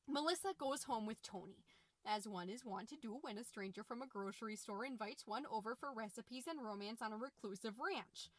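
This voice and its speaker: A chatty suburban mom played by a woman speaks in a high voice, close and dry. She delivers a scripted comedy podcast monologue with folksy, theatrical flair and drawn-out emphasis on choice words. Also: a bit bright, no echo, lightly acted. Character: chirpy, chatty, dramatic suburban mom hosting book-club podcast. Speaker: podcast host.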